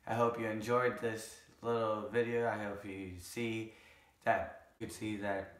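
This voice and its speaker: Young man in his twenties, hoarse, very low voice, earnest rambling vlog talk in a roomy living room. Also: level tone, unhurried, a bit bright. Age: 20s. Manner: earnest, rambling with self-corrections. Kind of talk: casual vlog recap. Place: living room couch, indoors.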